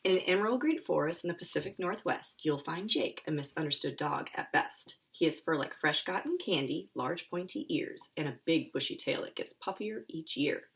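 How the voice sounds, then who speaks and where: A woman with an American accent and a low-pitched voice gives an informal live read-aloud for children. She speaks as an author, with chatty, friendly asides to her young listeners. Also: expressive, moderate pace, creaky, few pauses. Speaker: children's author and school counselor.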